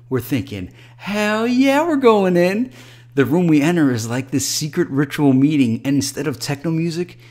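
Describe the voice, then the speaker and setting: A man voicing an excited, boisterous young party bro who brags in slangy, animated bursts while recounting a night out in a told story. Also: mid-range voice, voice pushed up, close to an everyday voice.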